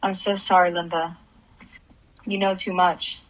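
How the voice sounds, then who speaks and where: A woman's voice: on the low side, level tone, a little slow, lightly acted, poor audio. A woman playing a troubled, grieving divorced mother in a radio play, subdued and brooding. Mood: cold, regretful.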